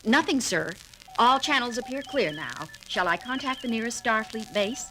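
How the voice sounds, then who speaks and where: A woman playing a poised, gentle communications lieutenant in a 1970s audio drama on a vintage record. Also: a little high, animated, moderate pace, a little hiss or hum, low-quality recording. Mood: soft, professional.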